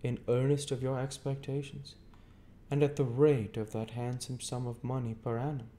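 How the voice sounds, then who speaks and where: A man's voice: medium pitch, level tone, normal speed, warm tone, voice pushed up, close to an everyday voice. A man voicing a stern middle-aged male lawyer who questions in a clipped, emphatic way. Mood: pronouncing. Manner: clipped, cross-examining, emphatic.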